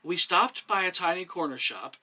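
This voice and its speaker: A man's voice: sits high, expressive, moderate pace, exaggerated voice. Man reading as a meek, hesitant woman medic. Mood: plainly explaining. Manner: quiet, hesitant, plain-spoken. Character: meek, defensive woman medic under questioning. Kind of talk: dramatic short story reading.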